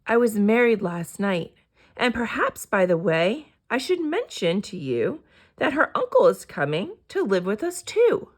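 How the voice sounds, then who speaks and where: A woman's voice: medium pitch, very animated, unhurried. A woman voicing a cheeky, self-satisfied little male bird in a children's storybook.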